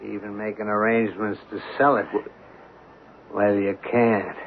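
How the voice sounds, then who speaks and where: An actor playing a desperate working-class husband in a 1970s radio drama, blunt, urgent and rattled. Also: male voice, fairly low pitch, level tone, measured pace. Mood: cold, menacing. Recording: phone-like, noisy vintage radio recording.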